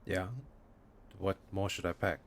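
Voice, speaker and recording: A man's voice: sits low, monotone, unhurried, noisy background. An actor playing a young male musician in a naturalistic audio drama, evasive and placid, answering in a terse, guarded way. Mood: dismissive.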